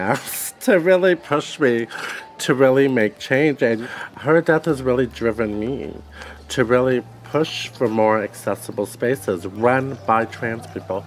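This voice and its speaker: A man's voice: mid-range voice, expressive, a little slow, slight background noise. A man who is a community organizer, answering interview questions in an earnest, driven way and leaning on the word "really.".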